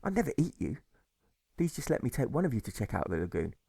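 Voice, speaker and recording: A man voicing a smooth-talking, scheming owl in an audiobook fable, persuasive and gloating. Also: mid-range voice, very animated, quick pace, mellow, no room sound, clean studio sound, full character voice. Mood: pleading innocence.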